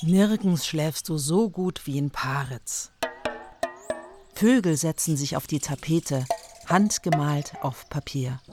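Low-pitched woman narrating over music. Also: slow pace, a little mellow. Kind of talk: poetic scripted narration in second person.

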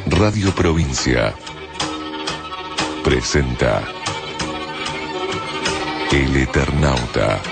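A male announcer delivers dramatic radio titles in an extremely deep voice. He speaks very slowly over loud music. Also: lively intonation, gravelly. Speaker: programme announcer.

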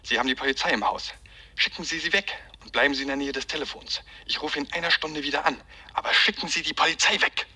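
An actor playing a cold, menacing male kidnapper with a husky voice, giving curt orders over the telephone in a radio crime drama. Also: on the high side, quick pace, sharp tone, exaggerated voice. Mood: cold threat.

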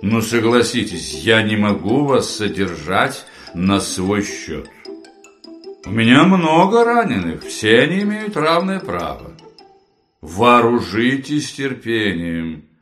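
An actor playing a curt, dismissive high-ranking dignitary in an audio play, formal and lofty in manner. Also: male voice, big pitch swings, takes it slowly, fairly bright tone, faint music behind, full character voice. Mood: cold, impatient.

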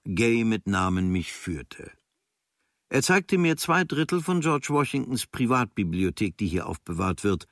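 A man reading a travel diary as scripted literary radio narration, in measured, observational short phrases. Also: on the low side, no echo, clean studio sound. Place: studio.

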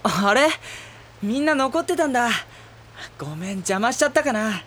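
A man playing a gentle, wounded high school boy in an audio drama, speaking in a soft, introspective, earnest way. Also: lively intonation, moderate pace, heavy background noise. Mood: awkward, polite. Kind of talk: Japanese audio drama acting with introspective monologue.

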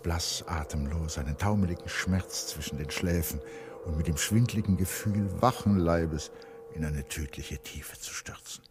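A man narrating a literary radio drama in a measured, precise, dramatic manner, as an intimate observer. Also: sits low, over music, close to an everyday voice. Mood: tense, observant.

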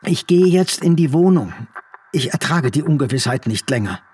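Casting: anxious, caring businessman, fairly high husky voice, urgent radio-play delivery. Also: expressive, moderate pace, a little mellow, no echo, clean studio sound, distant mic. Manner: anxious, pressing. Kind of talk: German radio drama acting.

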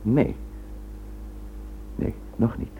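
An actor in a Dutch radio drama playing a stern, gruff police commissioner with a curt, authoritative manner. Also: male voice, extremely slow, narrow, tinny audio. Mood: firm, controlled. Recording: noisy, thin old radio recording with hum.

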